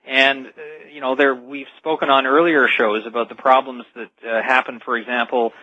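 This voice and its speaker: A man talks as a guest commentator in a radio interview. His voice is bright and pressing as he explains earnestly, and the sound is dry and a little lo-fi. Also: medium pitch, little pitch movement, moderate pace, dry recording. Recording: slightly dull lo-fi radio call audio.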